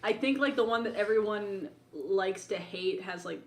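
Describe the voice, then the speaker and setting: A woman's voice: mid-range voice, normal speed, a little hiss or hum. A woman chatting casually as a podcast co-host, in a roomy, echoing recording. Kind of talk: casual chat about anime.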